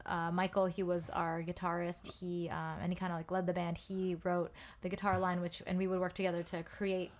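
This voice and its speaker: A woman's voice: on the low side, flat delivery, a little fast, hardly any pauses, a little hiss or hum. A young woman, a singer and songwriter, chatting as a podcast guest, with many ums and likes and easy laughs. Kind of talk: casual interview chat.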